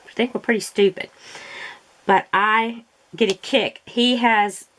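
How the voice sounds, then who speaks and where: A woman's voice: medium pitch, steady tone, unhurried, fairly bright tone, low-quality recording. A woman in casual, rambling chat as a crafting vlogger, often correcting herself, her throat irritated by allergies. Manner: rambling, chatty, self-correcting.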